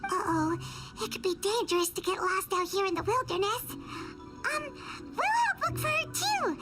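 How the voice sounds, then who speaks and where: A woman's voice: expressive, moderate pace. A woman voicing a small, chirpy, dramatic fairy-like companion girl in an anime video game. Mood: worried. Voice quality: squeaky, childlike. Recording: loud game music behind the voice.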